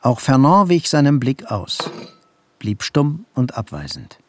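An actor narrating a crime story in a literary radio play. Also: male voice, mid-range voice, huge pitch swings, measured pace. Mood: matter-of-fact. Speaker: second narrator.

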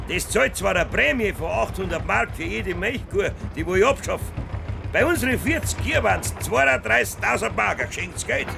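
Gravelly, high-voiced Bavarian farmer. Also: male voice, lively intonation, moderate pace, noisy background, full character voice. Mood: stubborn, blustering. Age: middle-aged. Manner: emphatic, blustering dialect speech. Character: stubborn, boastful Bavarian farmer and domineering father. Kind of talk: naturalistic German radio play acting.

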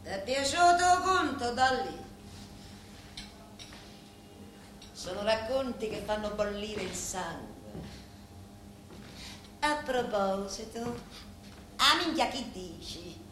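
An actress with a Sicilian accent playing a mob boss's bitter, taunting, sensual wife in a theatrical monologue. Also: female voice, medium pitch, animated, a bit bright. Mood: mocking, taunting. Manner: scornful, deliberate, theatrical. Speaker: stage actress. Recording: very echoey stage hall, faint noise.

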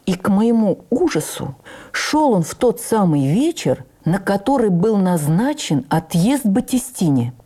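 A woman reading a first-person memoir as a radio drama narrator, with expressive, measured storytelling. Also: lowish voice. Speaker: narrator, actress.

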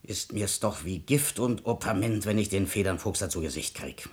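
A man's voice: fairly low pitch, moderate pace, close to an everyday voice. An actor playing a blunt, hot-tempered but loving older musician father in a classic radio drama, with an earthy, exclamatory delivery. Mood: angry, blustering. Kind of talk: classic German stage drama radio acting.

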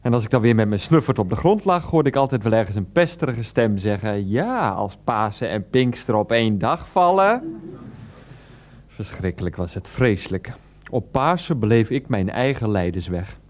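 An actor playing a whiny, self-pitying husband in a radio comedy drama. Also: male voice, fairly low pitch, big pitch swings, normal speed.

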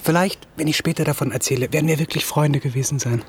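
An actor playing a proud, wounded young writer in a radio drama, cutting and mocking, halting and repeating words in agitation. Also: male voice, fairly high pitch, slight background noise, lightly acted. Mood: grudging, resigned. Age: young adult.

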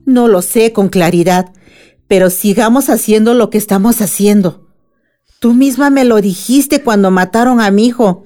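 A woman playing a wise older grandmother in a radionovela, earnest and deliberate as she consoles and persuades. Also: mid-range voice, dark tone, close to an everyday voice. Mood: encouraging. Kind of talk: radionovela acting.

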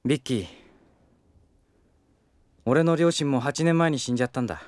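A man voicing a gentle, patient young detective who speaks softly and reassuringly. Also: mid-range voice, moderate pace, close to an everyday voice. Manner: soft, kind, reassuring. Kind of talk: anime drama CD acting.

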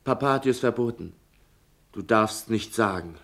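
An actor playing an earnest, inquisitive schoolboy in a German radio play, probing in an eager, halting way. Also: male voice, lowish voice, a bit bright. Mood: hesitant, wistful. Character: inquisitive, earnest schoolboy son, persistent and sensitive.

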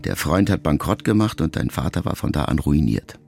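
Deep, gravelly, brooding son. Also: male voice, animated, a little mellow, full character voice. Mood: pensive, restrained. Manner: reflective, measured, questioning. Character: brooding middle-aged or older son addressing his dying mother. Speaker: radio play actor. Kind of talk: intimate first-person literary monologue in a radio drama.

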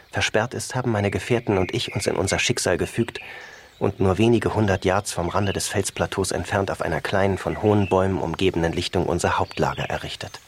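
A low-voiced expedition reporter reciting a letter in a radio play. He is formal, composed and dutiful, with faint birds and wildlife behind him. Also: a little hiss or hum. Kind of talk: scripted letter narration in radio drama.

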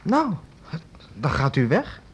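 An actor playing an earnest, devoted young apprentice in a radio play, apologetic and eager. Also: male voice, on the high side, wildly swooping pitch, slow, breathy edge, heavily acted. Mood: earnest worried. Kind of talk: radio drama acting.

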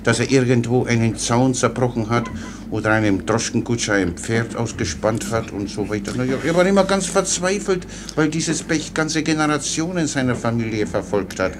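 An actor playing a guileless, chatty, foolish soldier orderly in a German radio play, rambling deferentially. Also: male voice, medium pitch, animated, normal speed, close to an everyday voice. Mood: chatty, unruffled. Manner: rambling, naively unruffled, deferential. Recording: clear background noise.

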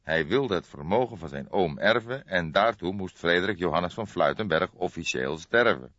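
A man with a low voice narrates a crime audiobook. His delivery is measured and scripted. The sound is somewhat muffled and close, with very little room in it. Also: animated, fairly bright tone, no room sound.

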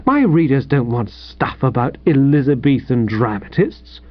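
A man voicing a jovial, gushing, patronising young English gentleman editor for an audiobook. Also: medium pitch, big pitch swings, measured pace, no echo, highs cut off. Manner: gushing, breezy, chummy. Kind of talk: audiobook character voice.

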